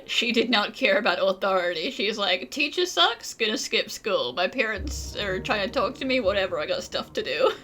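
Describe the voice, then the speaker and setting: Bright-voiced woman streaming a game. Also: medium pitch, big pitch swings, normal speed. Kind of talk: casual joking play-along commentary.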